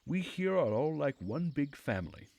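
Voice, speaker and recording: A man's voice: fairly high pitch, big pitch swings, moderate pace, vocal fry, a little mellow, dry recording, pitched above the performer's own voice. A man voicing a bossy, earnest woman who leads a summer camp in a visual novel, in a lecturing manner. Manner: scolding, lecturing.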